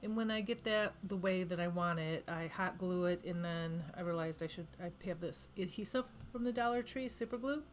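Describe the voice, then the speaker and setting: A woman's voice: on the low side, normal speed, hardly any pauses, a little hiss or hum. Chatty woman with a cold, narrating crafts. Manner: chatty, rambling, self-correcting with frequent ums. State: losing her voice from a cold. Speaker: craft vlogger. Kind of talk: casual voice-over narrating a craft project.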